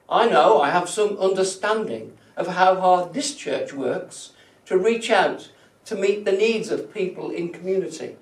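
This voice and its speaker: A man preaching a reflective sermon from notes, speaking in a measured, deliberate way with emphatic phrases that trail off softly. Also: high voice. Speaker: preacher, church minister. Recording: echoey room, distant microphone.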